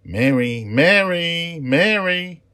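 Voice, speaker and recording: A man voicing a tiny two-and-a-half-year-old girl dragon who is lost and calling out in a high, loud voice. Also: very animated, normal speed.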